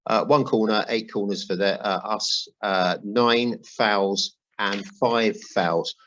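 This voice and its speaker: Man, low pitch, football podcast host, chatty, wry, dull lo-fi audio. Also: takes it slowly, a bit bright, a little hiss or hum. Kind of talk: football podcast chat reading notes and listener emails.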